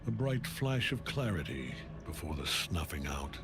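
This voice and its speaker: A man playing a grim older male general who serves a death god, speaking in a measured, cold, brooding way in game cutscene dialogue. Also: extremely low pitch, sing-song. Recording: game audio with loud music.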